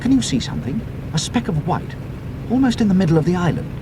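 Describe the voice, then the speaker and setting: A man voicing a keen, uneasy young airman in a storybook reading, brisk and alert. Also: high-pitched, very animated, noisy background, voice pushed up. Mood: sharp, alert. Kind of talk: dramatic audiobook character reading.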